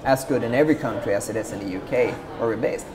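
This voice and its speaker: Swedish founder, 30s, brisk and enthusiastic, lapel mic in an office lounge with chatter around. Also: male voice, medium pitch. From Sweden. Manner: fast, upbeat and enthusiastic. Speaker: startup founder. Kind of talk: business interview.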